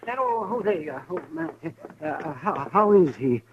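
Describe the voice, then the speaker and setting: An actor playing a flustered older frontier doctor who stammers in a 1950s radio western. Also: male voice, very animated, unhurried, slight background noise. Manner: high, fluttery, stammering. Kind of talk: 1950s radio drama acting. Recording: muffled vintage radio recording.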